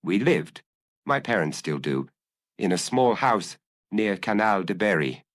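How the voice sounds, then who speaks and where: A man's voice: on the low side, big pitch swings, unhurried, a bit bright, no echo, clean studio sound. A man voicing a troubled young Frenchman in an audiobook, melancholy and hesitant as he explains himself earnestly. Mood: wistful, explaining. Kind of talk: dramatised audiobook dialogue.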